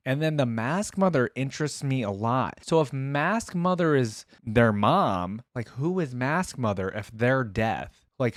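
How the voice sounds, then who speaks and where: A man's voice: medium pitch, no room sound, studio quality. A man giving casual reaction commentary as a video host, musing aloud and asking questions as he goes.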